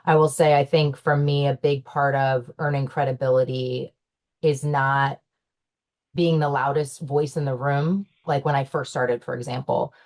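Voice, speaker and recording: Young woman panelist, low voice, chatty with lots of fillers, casual panel talk. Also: steady tone, measured pace, darkish tone. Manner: chatty, many fillers and restarts, laughs often. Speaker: panelist, owner's daughter in the business.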